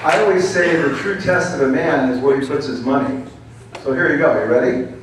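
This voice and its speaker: A man's voice: on the high side, a little fast, far from the mic, a crowd in the background, background noise. Confident candidate man in an echoey hall. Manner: forceful, confident, salesman-like. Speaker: political candidate. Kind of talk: candidate answering at a public forum.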